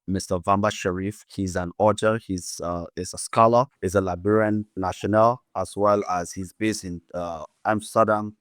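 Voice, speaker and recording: A man hosting a podcast, introducing his guest in a formal, measured way with some fillers. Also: low-pitched, fairly even intonation, no echo, studio quality. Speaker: podcast host.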